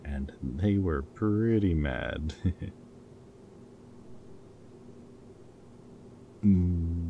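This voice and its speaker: Very deep-voiced, earnest captured rebel played by a man. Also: warm tone, a little hiss or hum. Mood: subdued, reassuring. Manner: hesitant, halting, casual and earnest. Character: goofy male rebel scientist, captured, caring and guilt-ridden. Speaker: audio roleplay performer. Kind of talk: intimate dramatic audio roleplay.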